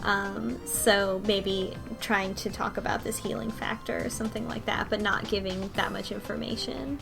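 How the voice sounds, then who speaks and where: A woman's voice: medium pitch, steady tone, moderate pace, creaky, over music. A woman co-hosting a casual podcast, recapping a story in a rambling way with many ums and restarts. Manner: rambling recap with frequent ums and self-corrections. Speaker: podcast co-host. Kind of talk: casual chat recapping a visual novel.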